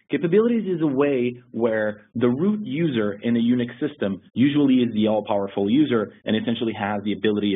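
A man's voice: medium pitch, breathy edge. Man explaining tech over a tinny line. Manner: explanatory, steady, with occasional restarts. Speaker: security lead presenting a webinar. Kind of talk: technical webinar presentation.

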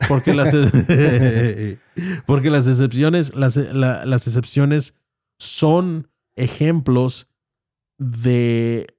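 A Mexican man in his thirties pushes his view in a heated podcast argument, insistent and slangy. His voice sits at a middle pitch. The studio mic catches it dry and close. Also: drawn-out words, mellow, dry recording. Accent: Mexican Spanish. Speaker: podcast co-host. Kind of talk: heated casual debate. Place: small studio with foam panels. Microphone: studio mic on boom arm, headphones.

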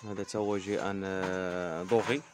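A man's voice: sits low, steady tone, moderate pace, loud music behind the voice. A man with a Moroccan accent casually showing goods as a seller, listing items with French trade words. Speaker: fabric and salon seller.

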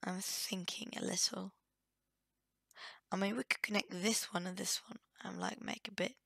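A woman hosts a casual gaming video, thinking aloud in a hesitant way. Her voice is hoarse and croaky from a cold, yet bright, at an average pitch. The recording is close and dry. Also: fairly even intonation, normal speed, no echo. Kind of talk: casual let's play commentary.

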